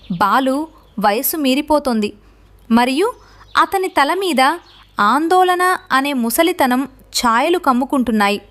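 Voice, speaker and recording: A woman's voice: sits high, fairly bright tone. A woman reading a scripted children's story as narrator.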